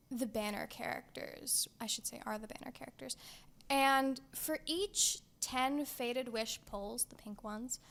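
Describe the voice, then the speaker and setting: Woman co-host with a fairly high voice, playful, dramatic, laughs often, casual gaming talk. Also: fairly bright tone. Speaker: podcast co-host.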